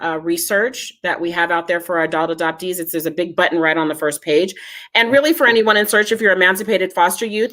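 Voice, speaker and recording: A woman's voice: on the low side, fairly bright tone. Female guest, earnest and reassuring, explains a genealogy search service in plain talk. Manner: earnest, reassuring, advice-giving. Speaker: genealogist and foundation head, interview guest. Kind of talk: casual interview talk.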